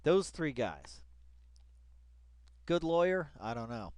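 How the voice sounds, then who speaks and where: A man's voice: on the high side, very animated, slow, a little mellow, dry recording, clean studio sound. A man hosting a casual read-along commentary in slangy, colloquial speech, emphatically repeating phrases and addressing people directly. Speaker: online video host.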